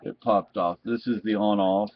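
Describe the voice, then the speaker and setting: A man giving a casual, unscripted product review in a halting, rambling way. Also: on the low side, fairly even intonation, gravelly. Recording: dull, muffled lo-fi sound.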